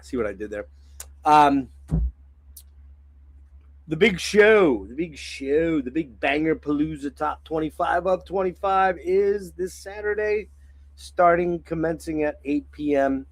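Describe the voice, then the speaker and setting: A man hosting a casual livestream in a hoarse, phlegmy voice, rambling with many filler words and frequent throat clearing. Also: on the high side, expressive, unhurried, no echo. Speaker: livestream host.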